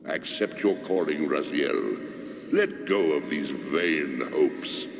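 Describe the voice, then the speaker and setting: A man's voice: extremely low pitch, lively intonation, slow, full character voice. An actor playing a vast, ancient, pitiless male god in a video game, sermonizing in a pompous, solemn manner. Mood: commanding. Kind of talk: dramatic video game acting. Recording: echoing cavernous reverb, clearly noisy ambience.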